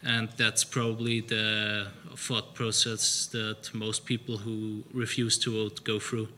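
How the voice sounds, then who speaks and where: Halting man with a flat, bright voice. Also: on the low side, a little hiss or hum. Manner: hesitant, many fillers and restarts, slightly non-native phrasing. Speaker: audience member asking a question. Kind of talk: halting spoken comment at a lecture Q&A.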